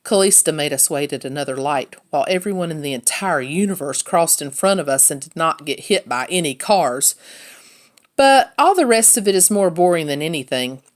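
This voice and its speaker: Woman, slightly low voice, children's book read-aloud, first-person storytelling. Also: lively intonation, moderate pace. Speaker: read-aloud narrator, teacher.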